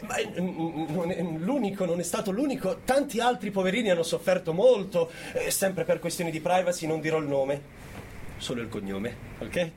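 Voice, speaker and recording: A man's voice: sits high, expressive, normal speed, a little mellow, slight background noise. A man performing a sarcastic, mock-indignant storyteller in a theatrical radio monologue, hesitating and chuckling through his points.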